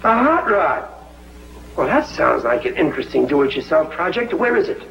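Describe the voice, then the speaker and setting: A bossy, excitable sitcom father who trips over his own words. His voice sits high and leaps around wildly. The old TV soundtrack carries a constant hiss. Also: normal speed, gravelly, echoey room, heavily acted, low-quality recording. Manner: excitable, stammering, flustered. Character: blustery middle-aged working-class dad, stubborn. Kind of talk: 1950s TV sitcom acting.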